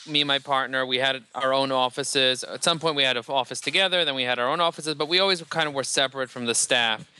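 A loud, energetic man talks up his work as a guest. His voice is very bright and crisp. The recording is close and dry, with no room sound. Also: mid-range voice, no echo. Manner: energetic, motivational, repeats words when excited. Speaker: podcast guest, company CEO. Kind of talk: conversational interview answers.

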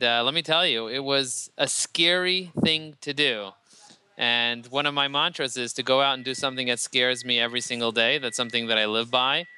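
A man, a company CEO answering interview questions in an energetic, motivational way. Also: medium pitch, clean tone. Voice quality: loud voice. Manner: energetic, motivational, repeats words when excited. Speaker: podcast guest, company CEO.

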